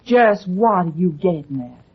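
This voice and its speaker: Coy Southern lady, American South accent, slow drawn-out delivery, animated high pitch, sweetly evasive, muffled old radio. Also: breathy edge. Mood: defensive. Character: coy, sugary Southern woman lawyer playing innocent. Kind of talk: vintage radio drama acting. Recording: muffled vintage radio recording.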